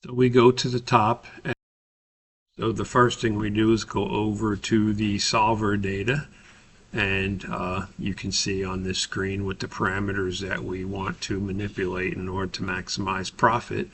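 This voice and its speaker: A man giving a methodical, step-by-step software tutorial as an instructor, explaining in a somewhat hesitant way. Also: fairly low pitch, flat delivery, moderate pace, slight background noise.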